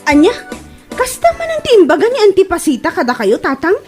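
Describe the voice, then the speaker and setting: A woman's voice: very high voice, wildly swooping pitch, brisk, soft background music, full character voice. A woman playing an indignant, easily offended grown daughter with a Filipino accent, complaining plaintively in a comedy drama. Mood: exasperated. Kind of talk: Ilocano comedy radio drama acting.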